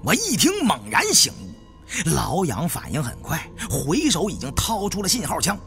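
A man narrating an adventure story in a dramatic, expressive storytelling manner. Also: a little high, normal speed, vocal fry, over music. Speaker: audiobook narrator.

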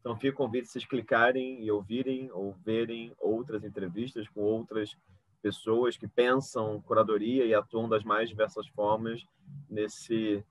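A man's voice: lowish voice, little pitch movement, moderate pace, dry recording. A man in his thirties with a Brazilian accent, talking casually as an interview host on a video call. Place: indoor room with bookshelves, video call. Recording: dry, dull video call audio.

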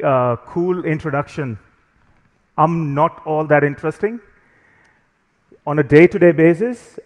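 A man's voice: fairly high pitch, highs cut off. Joking man speaking in a big hall. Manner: jokey, self-deprecating, conversational. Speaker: conference speaker. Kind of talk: conference talk. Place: large conference hall on stage. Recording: reverberant hall.

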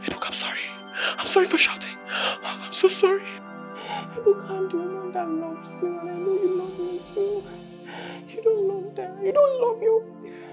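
A high-voiced, lovesick employee, played by a woman pleads with the married boss she loves. She turns reproachful and tearful in a TV drama, with faint music behind her. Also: steady tone, normal speed. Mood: tender. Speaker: office assistant.

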